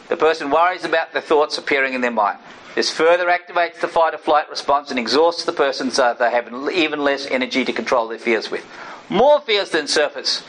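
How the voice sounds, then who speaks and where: A man's voice: on the high side, fairly bright tone, slight background noise, rough recording. A man teaching an informal sermon, talking conversationally with personal asides and frequent checks with his listeners. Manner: personal asides and self-disclosure.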